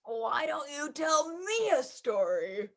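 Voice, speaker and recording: A woman voicing a sleepy, patient father chicken in a storybook, gently scolding and weary of interruptions. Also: high-pitched, sing-song, slow, voice pushed down, heavily acted. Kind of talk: storybook character voice.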